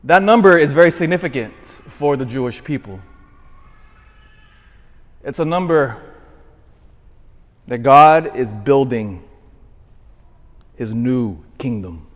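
A man in his forties, a parish priest giving a sermon, swinging from shouted emphasis to quiet asides. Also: mid-range voice, expressive, slow, long pauses. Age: 40s. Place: church. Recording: long church reverb.

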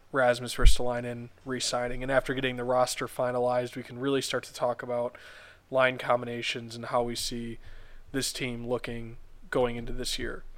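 Podcast host, male, hoarse and stuffed up, level monotone delivery, methodical talk. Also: mid-range voice. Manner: analytical, measured, restarts words often. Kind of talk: solo sports podcast commentary.

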